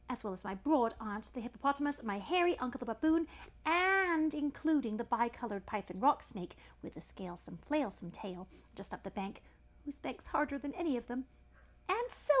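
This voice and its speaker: A woman voicing a very polite, earnest young male elephant child in a storybook reading. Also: a little high.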